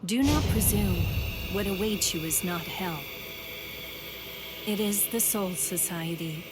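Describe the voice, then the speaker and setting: A woman's voice: medium pitch, slow pace, heavy background noise, lightly acted. An actress playing a proud, imperious young female supernatural warrior in an anime game, speaking formally. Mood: stern, composed. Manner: haughty, formal, commanding. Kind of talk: anime video game voice acting. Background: game music.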